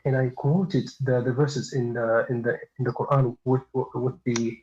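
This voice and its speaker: A man's voice: mid-range voice, little pitch movement, unhurried, a little mellow, a little hiss or hum. Hesitant man on a dull call line. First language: Arabic. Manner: hesitant, many fillers and restarts. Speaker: caller. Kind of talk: live stream call.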